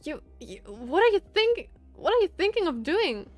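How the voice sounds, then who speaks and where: Woman voicing a high-pitched, flustered, indignant woman inventor. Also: big pitch swings, moderate pace, faint music behind. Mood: nervous, flustered. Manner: indignant, stammers when flustered. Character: hot-tempered, easily flustered female inventor, indignant.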